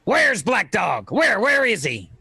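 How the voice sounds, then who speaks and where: Casting: man as ailing old sea captain, radio play. Husky, fairly high for a man, salty, rum-craving, desperate. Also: wildly swooping pitch, slow pace, pushed and bright, no room sound, clean studio sound, heavily acted. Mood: confused, frantic. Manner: pleading, salty seafaring speech.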